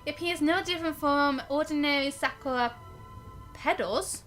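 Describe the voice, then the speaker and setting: A woman with a high, bright voice commentating live on a game stream. She is chatty and expressive, switching between reacting and reading text aloud, with game music in the background. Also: moderate pace.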